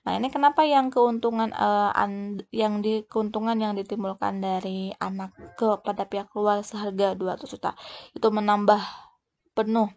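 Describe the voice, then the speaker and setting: A woman giving an explanatory lecture as a teacher, speaking haltingly and often restarting words and phrases. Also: medium pitch, steady tone, darkish tone. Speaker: accounting lecturer. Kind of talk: explanatory lecture, unscripted walk-through of worked examples.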